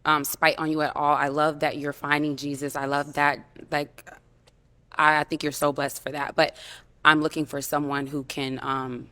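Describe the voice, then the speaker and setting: A woman in her twenties, a dating show contestant, speaking earnestly and hesitantly with many pauses and ums. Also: sits low, little pitch movement, bright, forceful tone, no room sound. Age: 20s. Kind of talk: candid unscripted conversation.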